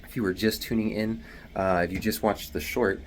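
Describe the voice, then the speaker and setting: A man with a very deep voice, talking hushed and rambling with many fillers while explaining delivery-driver pay in a casual vlog from a small bathroom at home. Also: little pitch movement, moderate pace, slight background noise. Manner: hushed, half-whispering to avoid waking others.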